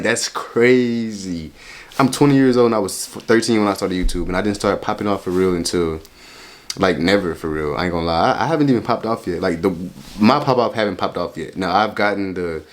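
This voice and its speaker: Reaction host, man in his twenties, very low voice, loose rambling delivery full of slang. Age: 20s. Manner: rambling, slangy, keeps asking "you feel me?". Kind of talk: casual reaction commentary.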